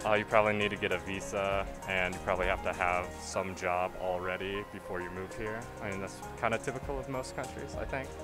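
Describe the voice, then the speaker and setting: A man's voice: low voice, steady tone, moderate pace, hardly any pauses. An American man on vacation answering a street interviewer tentatively, hedging his answers. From United States. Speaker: tourist interviewee. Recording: music playing underneath.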